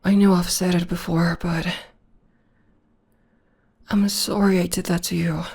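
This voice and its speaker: A woman playing a gentle, loving witch girlfriend in an intimate ASMR roleplay, speaking softly and tenderly in a breathy half-whisper. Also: fairly low pitch, level tone, full character voice. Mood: apologetic. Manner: tender, slow, soothing. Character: gentle, loving witch girlfriend comforting her demon partner.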